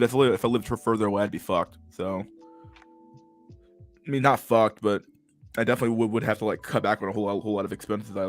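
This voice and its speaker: A man in his twenties with a stammer and a lisp, chatting casually to his livestream chat, halting and restarting words and swearing freely. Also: lowish voice. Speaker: livestream host reading chat.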